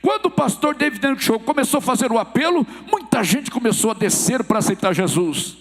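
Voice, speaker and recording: A Brazilian pastor with a Minas Gerais accent preaches from the pulpit, his voice sitting very high for a man. He tells stories and acts out the people in them, calling out hallelujah between them. The church hall rings with a long reverb behind every line. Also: animated, a little fast. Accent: Brazilian Portuguese, Minas Gerais. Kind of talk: sermon.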